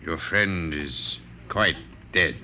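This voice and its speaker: A menacing Martian villain from old-time radio. His low voice is very crisp and forceful, and he talks in formal, measured, condescending phrases over a faintly noisy vintage recording. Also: heavily acted. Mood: calm menace. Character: coldly polite, menacing Martian villain, posing as a man.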